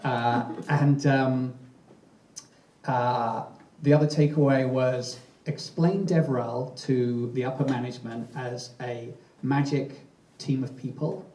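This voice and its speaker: Relaxed host in an echoey room. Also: male voice, medium pitch, slow pace. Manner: relaxed, summarizing and joking. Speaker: host and marketing executive. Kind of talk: fireside chat moderator. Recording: roomy, distant mic.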